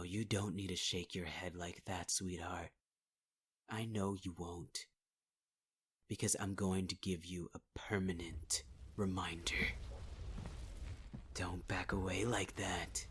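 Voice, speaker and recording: Male lead, deep husky voice, slow drawl, cruel taunting villain tone for ASMR roleplay. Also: slight background noise. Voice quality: husky, half-whispered delivery. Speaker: voice actor in audio roleplay.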